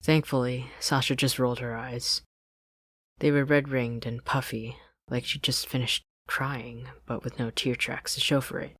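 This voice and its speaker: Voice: medium pitch, level tone, measured pace, a bit bright, breathy edge, no echo. Podfic narrator, scripted storytelling, close desk microphone, very dry sound. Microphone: close studio-style desk microphone, dry.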